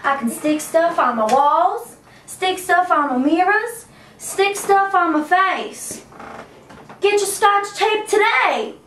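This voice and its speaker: A woman playing an excitable, gushing pitchwoman in an amateur mock commercial. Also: takes it slowly, heavily acted. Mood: gushing, excited. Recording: echoey room, distant mic, faint noise.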